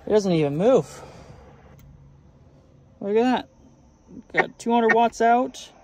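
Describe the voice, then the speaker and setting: A man's voice: sits high, animated, slow. A man giving a casual, explanatory walkthrough as a vlogger showing off his gear. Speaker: vlogger showing his vehicle radio setup. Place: outdoors by a truck, then inside it. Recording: clear background noise, vehicle ambience.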